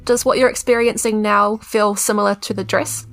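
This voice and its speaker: A woman's voice: mid-range voice, steady tone, normal speed, a bit bright, soft background music. A woman, chatting casually as a player in a tabletop game.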